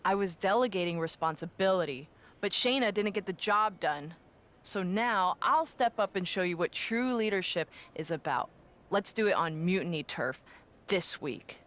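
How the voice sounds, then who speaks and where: A woman's voice: lowish voice, animated, moderate pace, fairly bright tone. A woman playing a scheming, domineering wrestling faction leader in a video game cutscene, with a confrontational, self-important manner. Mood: defiant, smug.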